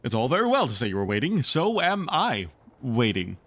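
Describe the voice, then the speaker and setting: A man acts out a middle-aged father from a children's storybook, vain and blustering. He retorts with pompous indignation, and his pitch moves around in a lively way to cover his cowardice. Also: fairly quick, dry recording, full character voice. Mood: indignant.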